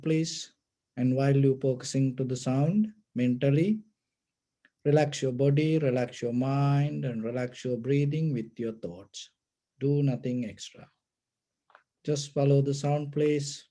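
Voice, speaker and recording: A man teaching Buddhist meditation, giving gentle, measured guided instructions in short phrases with pauses. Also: medium pitch.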